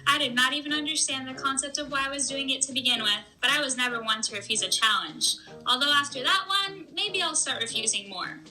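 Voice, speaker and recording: A woman's voice: high-pitched, a little fast, hardly any pauses, pushed and bright, faint music behind, low-quality recording. A woman playing a chatty, upbeat radio host in a scripted 1920s-style show, with chirpy period banter.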